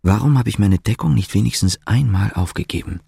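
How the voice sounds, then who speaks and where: Casting: reserved policeman on a covert errand, low voice, clipped matter-of-fact delivery, radio play. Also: expressive, a little fast, gravelly, dark tone. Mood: self-reproachful. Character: Martin, guarded East German policeman on a covert errand. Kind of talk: radio drama acting with inner monologue.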